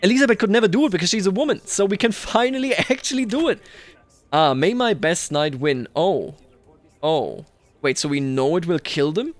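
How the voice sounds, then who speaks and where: Higher-voiced man musing through game commentary. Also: lively intonation, normal speed. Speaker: gaming video host. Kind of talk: roleplay game commentary, thinking aloud. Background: music.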